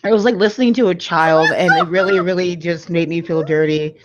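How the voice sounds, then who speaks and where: A woman's voice: lowish voice, steady tone, a little fast, dull audio, heavy background noise. A woman co-hosting a live show, chatting casually and rambling with lots of "like" and "you know". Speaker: podcast co-host. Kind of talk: casual chat about audiobooks.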